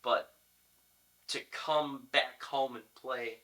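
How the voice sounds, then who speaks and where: Guy hosting a sports podcast, really sharp forceful voice, chatty rambling questions. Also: medium pitch, steady tone, takes it slowly. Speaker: podcast host interviewing players. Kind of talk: casual sports interview chat.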